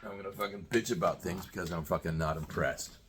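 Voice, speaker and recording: A lower-voiced man in his sixties rants at his listeners, swearing and challenging them directly. He circles back on his own phrases as he pushes his point. A faint hiss of noise sits behind him. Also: fast. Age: 60s. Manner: profane, confrontational, repetitive. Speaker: commentator. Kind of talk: ranting monologue.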